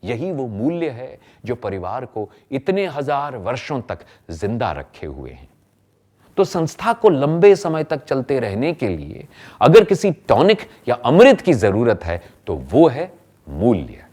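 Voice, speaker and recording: Animated man narrating a training film. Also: mid-range voice, takes it slowly. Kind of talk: scripted explanatory narration.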